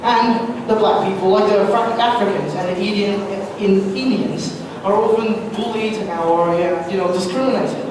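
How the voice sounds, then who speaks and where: A woman's voice: mid-range voice, moderate pace, hardly any pauses. A woman presenting an event in a scripted discussion on stage. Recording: echoey hall, distant mic, noisy ambience.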